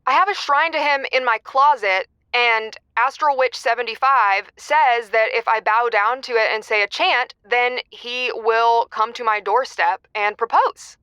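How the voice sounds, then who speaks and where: A woman's voice: on the high side, measured pace, few pauses, bright, forceful tone, smooth, no echo. A woman acting as an earnest, stubborn, obsessive lovelorn caller in her thirties, talking casually with many fillers in a comedic audio drama. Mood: stubborn, intense. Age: 30s. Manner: filler-heavy millennial casual talk, stubborn. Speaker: caller to an advice show.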